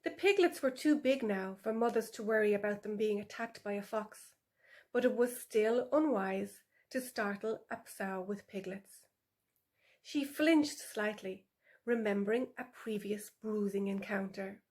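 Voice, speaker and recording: A woman's voice: mid-range voice, measured pace, a little mellow. A woman author reading her animal story for older children as scripted narration.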